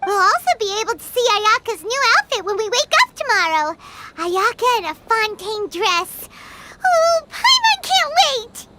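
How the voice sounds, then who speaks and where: A very high, squeaky childlike fairy chatters excitably in game dialogue. Her pitch bounces about, over loud background noise. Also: unhurried, heavily acted. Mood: excited. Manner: refers to self in third person, exclamatory. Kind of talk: video game cutscene dialogue.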